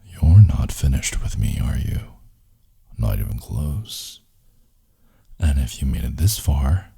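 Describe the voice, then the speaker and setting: A man playing a brooding, possessive, lovelorn boyfriend in an intimate ASMR roleplay, in a hushed, husky, gravelly voice that often slips into whispers. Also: a bass voice, exaggerated voice. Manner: slow, hushed, intimate murmuring.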